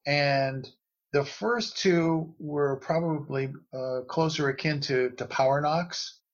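A man giving casual explanatory commentary as a guest analyst, measured and tentative, on a slightly lo-fi recording. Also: fairly high pitch. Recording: slightly dull, lo-fi sound.

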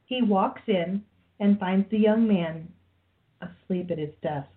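A woman's voice: mid-range voice, slow. A woman reading scripted narration for a radio play. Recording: tinny phone-like sound, faint music behind.

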